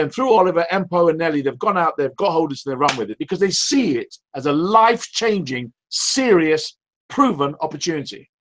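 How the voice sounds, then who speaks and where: A man with a loud, high-pitched voice leads a team call. He hammers his points with repetition and rhetorical questions. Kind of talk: motivational sales call. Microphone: call audio.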